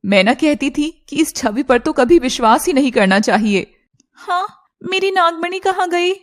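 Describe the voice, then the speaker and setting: Woman voicing a high-pitched, emotional cartoon serpent girl. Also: animated, moderate pace. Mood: accusing. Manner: earnest, dramatic. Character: young female shape-shifting serpent woman, emotional and loyal. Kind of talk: Hindi cartoon character dialogue.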